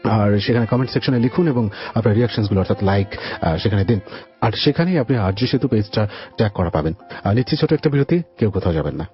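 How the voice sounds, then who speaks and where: A man's voice: lowish voice, lively intonation, quick pace. A man with a Bangladeshi accent hosting a radio show, presenting to listeners and questioning his guest. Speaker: radio host. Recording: muffled radio audio with music behind. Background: background music.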